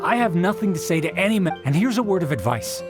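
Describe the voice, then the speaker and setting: A man's voice: high-pitched, very animated, fast, over music. A man voicing a disgruntled, dismissive commoner in a video game, curt and resentful.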